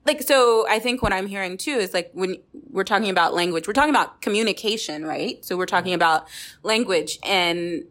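A woman's voice: mid-range voice, a little fast, fairly bright tone. A woman hosting a casual group podcast, speaking in an encouraging, reflective way as she sums up what her guests say. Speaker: podcast host.

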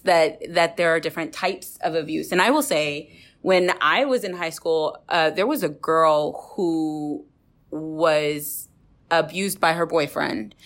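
A woman with a slightly low voice hosts a conversational podcast. She talks reflectively, often correcting and rewording herself as she works through a point. She is from Texas. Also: fairly bright tone. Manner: reflective, rephrases and self-corrects as she goes. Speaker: podcast host.

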